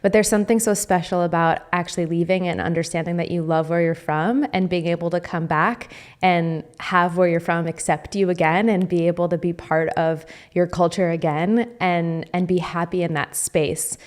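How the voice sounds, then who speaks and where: A woman's voice: lowish voice, fairly even intonation, moderate pace. An American woman hosting a podcast, chatting casually with her guest and laughing often. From United States. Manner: laughs often, friendly and talkative. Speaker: podcast host. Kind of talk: casual interview chat.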